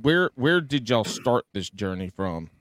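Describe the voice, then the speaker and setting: A man's voice: mid-range voice, very animated, a little slow, fairly bright tone, dry recording, clean studio sound. A man co-hosting a podcast, joking and teasing the guest in casual banter. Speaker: podcast co-host.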